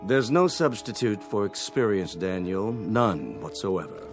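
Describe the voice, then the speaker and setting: An actor playing a seasoned, deferential club waiter in a radio drama, dryly formal and deliberate. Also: male voice, fairly low pitch, lively intonation, music in the background. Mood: dry, instructive.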